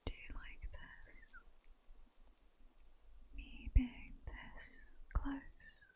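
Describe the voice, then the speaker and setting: A woman with a British accent playing a seductive, mocking vampire queen in a slow, breathy, teasing whisper for an ASMR roleplay. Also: darkish tone, low-quality recording. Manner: slow, teasing, sultry, condescending. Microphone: close-up ASMR microphone.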